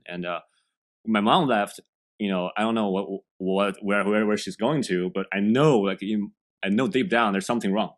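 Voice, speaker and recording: A young man from China speaks with a Chinese accent in a very low, deep voice. He is a podcast guest who talks earnestly and haltingly, restarting phrases and leaning on fillers. English is not his first language. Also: fairly bright tone. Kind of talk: podcast interview.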